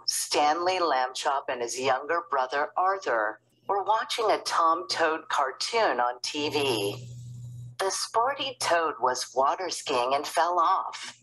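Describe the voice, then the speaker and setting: A woman reading a children's storybook aloud in an expressive narrating style. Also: deep voice, takes it slowly, a little hiss or hum, rough recording. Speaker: storybook reader.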